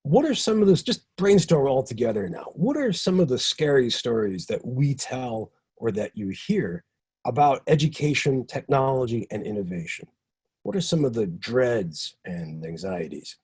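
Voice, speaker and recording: A man hosting an online workshop session over a video call, reading out comments in a halting way with many ums and uhs. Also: mid-range voice, sing-song, a little mellow, breathy edge. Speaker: session host.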